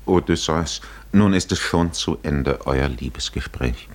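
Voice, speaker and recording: An actor playing a brooding male storyteller in a 1950s radio play, speaking in a solemn, deliberate, literary way. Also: very deep voice, heavily acted. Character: grave male storyteller addressing Odysseus, brooding. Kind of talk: 1950s radio drama narration.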